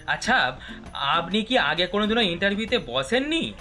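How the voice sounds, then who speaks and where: A man acting a formal, puzzled job interviewer with hesitant, measured questions in a comedy audio drama. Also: high voice, sing-song, sharp tone, soft background music, heavily acted.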